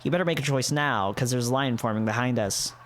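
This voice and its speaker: A man's voice: medium pitch, fast talker, fairly bright tone, a little hiss or hum, close to an everyday voice. A man voicing an anthropomorphic fox, an assured and flirtatious date in a visual novel, over music. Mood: flirty, assured. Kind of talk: visual novel character read-aloud.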